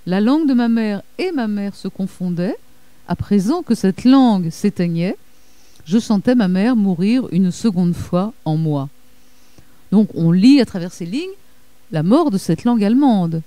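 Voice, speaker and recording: Measured woman giving a lecture. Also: mid-range voice, animated, warm tone, no echo. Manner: measured, hesitant with fillers and self-corrections. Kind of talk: academic lecture reading quotations.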